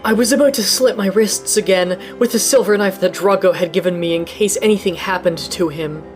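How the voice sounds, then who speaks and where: A woman giving a comedic dramatic reading of a fanfic in first person, deadpan and mock-dramatic, with deliberate mispronunciations. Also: medium pitch, faint music behind. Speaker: dramatic reader.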